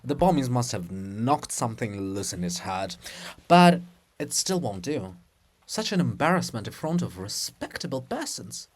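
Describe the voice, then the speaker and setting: Man playing a haughty, sharp-tongued princess statue with swooping pitch. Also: big pitch swings, unhurried, slightly rough. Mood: disdainful. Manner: haughty, cutting. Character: proud, scornful princess monument, sharp-tongued scold.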